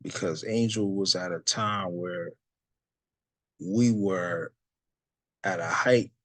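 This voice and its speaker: A low, husky man talks through a religious study lesson in a loose, easygoing way, with plenty of fillers and side remarks. The sound is dry and close, with no room around it. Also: slow, a bit bright, no room sound. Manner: loose, conversational, many fillers and asides. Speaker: religious teacher leading a study discussion. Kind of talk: casual religious study talk.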